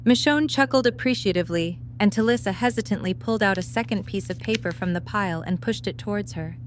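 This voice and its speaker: A woman's voice: mid-range voice, fast talker. A woman narrating a scripted story as the narrator of a fan audio drama. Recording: light noise and hum.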